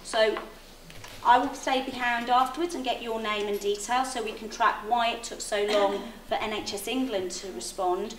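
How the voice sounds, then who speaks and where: A woman panel official responds calmly and conciliatorily, pausing on ums as she chooses her words. She is caught from across an echoey hall, with a faint hum of background noise. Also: on the high side, far from the mic. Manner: conciliatory, hesitant with frequent ums. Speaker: health service official on a meeting panel. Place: public meeting hall.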